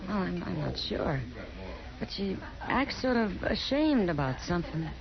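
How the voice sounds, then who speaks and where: A woman's voice: on the low side, very animated, a little slow, creaky, full character voice. An actress playing a concerned, worldly frontier woman, natural and frank in a 1950s radio western. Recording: muffled vintage radio recording, background crowd murmur.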